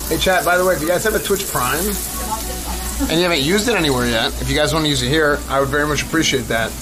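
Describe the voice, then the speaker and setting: A man's voice: a little high, fast talker, low-quality recording. A young man chatting casually as host of a livestream in a restaurant, with food sizzling nearby. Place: busy Korean barbecue restaurant, indoors.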